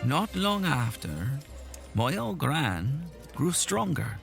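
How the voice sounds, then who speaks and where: Man acting animated old village storyteller. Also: mid-range voice, slow, gravelly, music in the background, heavily acted. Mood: earnest, wistful. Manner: theatrical, folksy, savouring the tale. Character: folksy old village storyteller, boastful, earnest and whimsical. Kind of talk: audio drama tale-telling.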